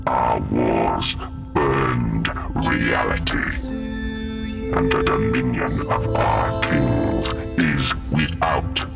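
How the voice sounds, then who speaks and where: A man playing an immense, ancient and arrogant alien ruler in a science fiction monologue, declaiming imperiously in heavy gravel. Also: rumbling low, very slow, full character voice. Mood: arrogant contempt. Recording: loud music behind the voice.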